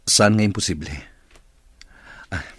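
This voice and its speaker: A man's voice: lowish voice, unhurried, a bit bright, slightly rough, no room sound, full character voice. A man with a Filipino accent playing an earnest, insistent boss courting his young employee in an Ilocano radio drama.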